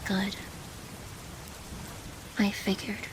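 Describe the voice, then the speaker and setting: A woman playing a doting, possessive yandere girlfriend in an intimate ASMR roleplay, teasing softly and often dropping to a whisper. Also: very slow, background noise. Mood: needy, suspicious. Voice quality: soft, breathy with whispered phrases.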